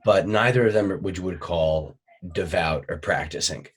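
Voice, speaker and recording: A man's voice: deep voice, normal speed, breathy edge. A young man from Virginia, a comedian guest, telling stories with a wry tone in a casual podcast chat. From Richmond, Virginia, USA. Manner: wry storytelling with laughs.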